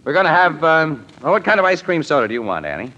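An actor playing a brash, wisecracking crime photographer in an old-time radio drama, slangy, breezy and quick-witted. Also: male voice, a little high, sing-song, bright, forceful tone, full character voice. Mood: affable, probing. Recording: muffled, noisy old radio recording.

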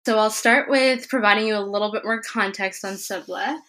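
A woman's voice: medium pitch, brisk, fairly bright tone, rough recording. A woman hosting a podcast interview, opening with an upbeat scripted introduction before chatting with her guest. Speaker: podcast host.

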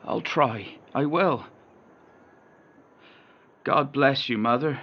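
A man's voice: mid-range voice, drawn-out words. A man acting in an audio drama as a despondent, hesitant son. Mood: subdued. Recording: dull muffled sound, faint noise.

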